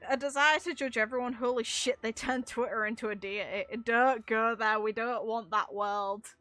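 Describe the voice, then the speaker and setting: A woman's voice: on the high side, steady tone, normal speed, sharp tone, dry recording, soft background music. A woman giving casual, rambling commentary on game lore, informal and a little sweary, with wry asides. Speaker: gaming video creator discussing game lore.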